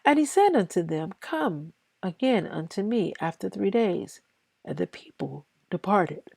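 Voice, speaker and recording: A woman reading scripture aloud in a deliberate, halting way, phrase by phrase. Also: mid-range voice, big pitch swings, vocal fry, darkish tone, husky, no echo. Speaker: scripture reader.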